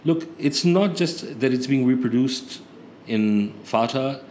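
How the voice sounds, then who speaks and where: A man's voice: mid-range voice, animated, slow pace, darkish tone. A man with a mid-range voice speaks earnestly, thinking aloud and restarting his sentences as he works out his point. Faint background noise runs under the recording. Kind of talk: casual political discussion.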